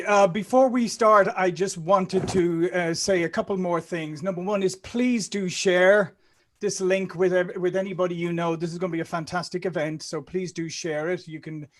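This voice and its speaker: A man hosting a live online event, introducing it and putting questions to guests. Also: sits high. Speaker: event host. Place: online group call.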